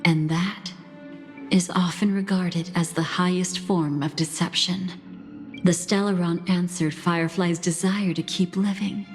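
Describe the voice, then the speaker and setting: An actress voicing a calm, enigmatic female storyteller in a video game, narrating in a composed, deliberate, solemn way. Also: lowish voice, lightly acted. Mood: composed, knowing. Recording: reverberant, music underneath.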